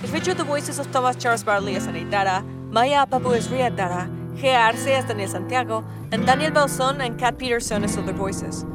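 A woman's voice: fairly high pitch, a little fast, loud music behind the voice. A woman reading scripted credits as the announcer of an audio drama podcast.

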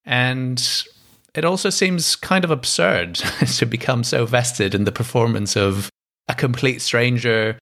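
A man's voice: medium pitch, unhurried, a bit bright. A man from Greece hosting an interview, asking long questions in a casual, hedging way with many fillers. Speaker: interviewer, podcast host.

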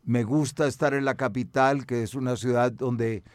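An older man with a Colombian accent gives reflective interview answers. He speaks in a measured, articulate way with formal wording, at a middling pitch. The sound is dry, with hardly any room in it. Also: steady tone, no room sound. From Medellín, Colombia. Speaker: cultural radio broadcaster and music researcher.

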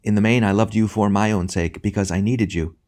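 A man voicing a joyful, loving lady spirit in an audiobook, gentle and earnest with a teasing touch. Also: on the low side, steady tone, a little fast, pitched above the performer's own voice, natural voice. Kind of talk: audiobook character acting.

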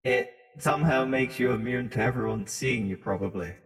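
A man's voice: medium pitch, normal speed, faint music behind. A man co-hosting a podcast with casual, crude joking banter in a reverberant room. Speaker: podcast co-host.

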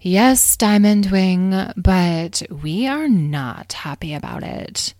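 A woman's voice: medium pitch, very animated, slow, a little mellow, no echo, clean studio sound. A woman voicing a sulky, self-assured fairy attendant in a storybook, reluctant and disdainful.

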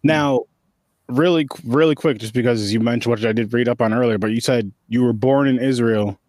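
A male interviewer with a mid-pitched voice asks questions in a casual, enthusiastic way, often stumbling over his quick reactions. The sound is dry and close, with no room echo. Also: darkish tone.

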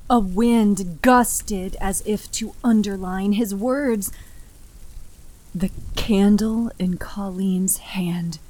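A woman narrates a horror story very slowly and gravely, at a medium pitch. Her delivery is dramatic and deliberate, with breathy emphasis that often sinks almost to a whisper. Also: a little mellow, rough recording.

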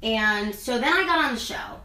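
A woman's voice: on the high side, lively intonation, moderate pace, bright, forceful tone. A young adult woman chatting casually in an interview, rambling and filling her talk with "like" and "um.". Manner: rambling, heavy use of "like" and "um". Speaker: interviewee, singer and actress. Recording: echoey room, mic at a distance, faint ambience.

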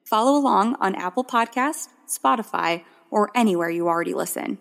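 Female promo read, gravelly mid-pitched voice, dramatic. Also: animated, fairly quick. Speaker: podcast host in an ad. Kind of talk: scripted podcast promo.

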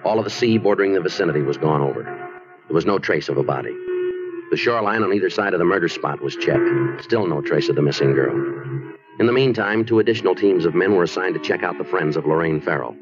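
An actor playing a businesslike American police detective who narrates his case in a deadpan, clipped manner in a 1950s radio drama style. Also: low voice, fast talker. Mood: matter-of-fact. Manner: deadpan, clipped, matter-of-fact. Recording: muffled old radio recording, music behind.

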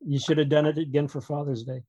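A man speaking casually as an interview guest, in a halting, digressive way with wry humor. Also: on the high side, level tone, warm tone, no room sound, lo-fi sound. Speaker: guest author and producer.

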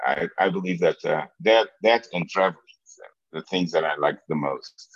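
A middle-aged man with a very low voice and a Portuguese accent answers interview questions. He speaks haltingly and often repeats words as he finds his phrasing. The sound is echoey and slightly dull. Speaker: interview guest, football business executive. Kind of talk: conversational interview answers, personal recollection.

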